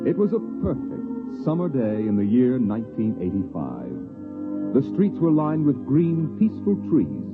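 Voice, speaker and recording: Deep, dramatic old-time radio narrator. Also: male voice. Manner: dramatic, deliberate phrasing. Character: solemn, portentous male narrator of an apocalyptic science fiction tale. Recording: tinny vintage 1950s radio recording. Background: music.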